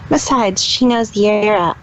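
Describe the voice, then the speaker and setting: A woman voicing a proud but unsure female pony in a fan fiction reading, speaking haltingly. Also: medium pitch, natural voice. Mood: uncertain. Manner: hesitant, refers to herself in third person. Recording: faintly noisy home recording.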